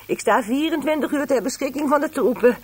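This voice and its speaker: An actress playing a playful office secretary in a radio play, arch and flirtatiously teasing. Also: female voice, sits high, brisk, close to an everyday voice. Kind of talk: radio drama acting.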